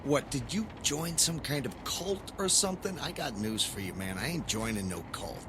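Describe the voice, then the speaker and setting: A man's voice: mid-range voice, lively intonation, moderate pace, noisy background, natural voice. An actor playing a skeptical, wisecracking everyman brother, talking in a colloquial, questioning way in a dramatic audio play. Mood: sarcastic, wary.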